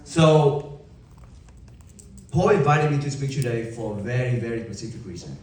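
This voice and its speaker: A young man with a Vietnamese accent giving an emphatic motivational keynote to a live audience, with dramatic pauses and rhetorical questions. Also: medium pitch, expressive, a little mellow. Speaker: motivational speaker. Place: large event hall. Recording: echoey hall from far off.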